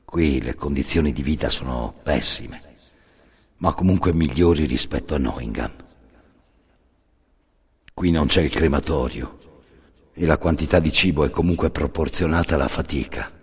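A man performing scripted dramatic storytelling as a solo narrator, grave and deliberate, in short phrases with pauses. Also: extremely low pitch, husky. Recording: long reverberant hall.

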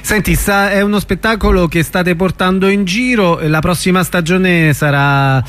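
A man's voice: sits high, normal speed, fairly bright tone. A man interviewing a guest on the radio in an informal, conversational style.

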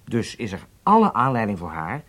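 An actor playing a strict, confident riding instructor in a radio drama, commanding and insistent. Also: male voice, lowish voice, wildly swooping pitch, moderate pace, a bit bright, no room sound, full character voice. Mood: calculating, measured. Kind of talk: Dutch radio drama acting.

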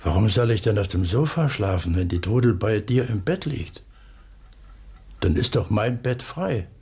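A stern middle-aged father in a radio drama. His voice is low, very husky and creaky. He speaks tersely and deliberately, with unbending authority. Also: expressive, a little mellow, heavily acted. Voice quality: husky, gravelly. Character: stern, stubborn father and master of the household.

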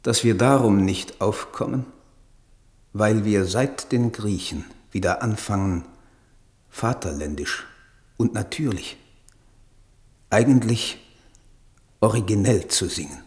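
An actor playing a dreamy, troubled poet, halting and lofty, in a German radio drama. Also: male voice, fairly low pitch, darkish tone.

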